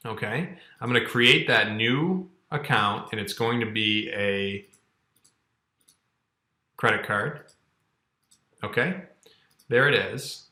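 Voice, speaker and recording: A man's voice: lowish voice, takes it slowly, long pauses, pushed and bright. A man in his thirties giving a casual, conversational tutorial as an instructor, explaining step by step and often checking in. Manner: conversational, explanatory, frequent check-ins like "okay" and "all right". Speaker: accounting course instructor. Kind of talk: casual screen-share tutorial. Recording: roomy, echoing indoor sound.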